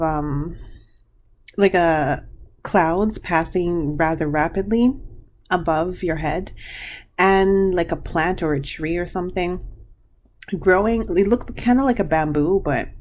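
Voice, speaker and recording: A woman giving a pick-a-card tarot reading in a chatty, meandering way, full of fillers and restarts, her voice slightly hoarse. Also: on the low side, takes it slowly, darkish tone, a little hiss or hum.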